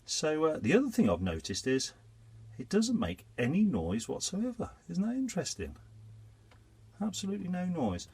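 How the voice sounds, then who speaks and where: A man's voice: fairly high pitch, wildly swooping pitch, normal speed, darkish tone, breathy edge. A man with a blocked nose from a cold, chatting through a step-by-step DIY job on his narrowboat. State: congested with a cold. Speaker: vlogger. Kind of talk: casual DIY vlog.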